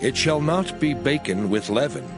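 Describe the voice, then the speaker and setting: Solemn man reading scripture with lively intonation. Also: medium pitch, music in the background. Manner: solemn, measured, declamatory. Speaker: scripture reader.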